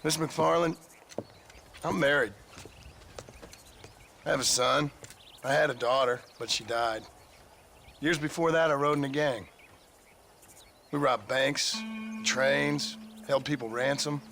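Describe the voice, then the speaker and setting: A man's voice: medium pitch, takes it slowly, gravelly, long pauses, fairly bright tone, slight background noise. A man playing a weary, guarded former outlaw with an American accent, in a dry, courteous, understated manner for a western video game. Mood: grave, confessional. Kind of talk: video game character acting.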